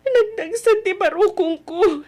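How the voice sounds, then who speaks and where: Casting: heartbroken young woman, very high voice, plaintive and weepy, Ilocano accent, radio-drama delivery. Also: unhurried, darkish tone. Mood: hurt, tearful. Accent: Ilocano Filipino. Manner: tearful, plaintive. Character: heartbroken young woman, betrayed by her boyfriend. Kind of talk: old-time Ilocano radio drama acting.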